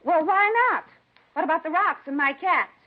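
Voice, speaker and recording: A woman's voice: very high voice, normal speed. An American woman acting a nosy, gossipy, indignant middle-aged neighbor who dotes on her cats, in a 1950s radio drama. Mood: huffy. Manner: chatty, indignant, rambling. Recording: dull 1950s radio broadcast recording.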